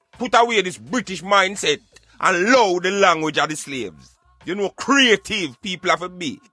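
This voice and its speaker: A man's voice: a little high, sharp tone, no room sound. A man with a Jamaican accent giving emphatic, preachy, rhetorical opinions in an impassioned talk style. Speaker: radio show host.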